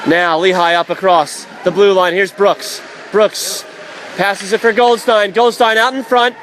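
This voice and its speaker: A man calling live ice hockey play-by-play for radio, excitable and raising his voice on big plays. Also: high voice, measured pace, rough recording. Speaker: play-by-play commentator. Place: indoor ice rink broadcast position. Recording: live arena commentary with faint crowd noise. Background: faint crowd and rink noise.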